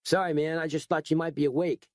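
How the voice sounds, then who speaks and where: A man's voice: on the high side, fairly even intonation, fast talker, dry recording, studio quality, pitched above the performer's own voice, lightly acted. A man voicing a laid-back young male hoodlum who drawls and calls everyone "man". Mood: offhand. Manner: drawling, casual, says "man" a lot.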